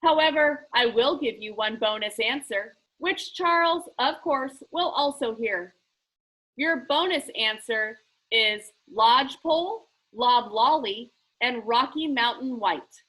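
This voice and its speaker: A woman's voice: fairly high pitch, lively intonation, takes it slowly, bright, forceful tone, heavily acted, rough recording. A woman voicing an eccentric, playful male game-maker in a storybook, theatrical, grandiose and emphatic. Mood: brisk, pleased. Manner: theatrical, emphatic, pronouncing showily.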